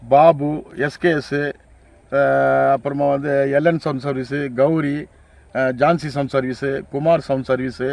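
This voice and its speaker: A man's voice: mid-range voice, fairly even intonation, unhurried, gravelly, a little mellow. An older man reminisces casually in an interview as a veteran sound operator, deferential and repetitive as he lists things from memory. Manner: deferential, often addressing listener as sir.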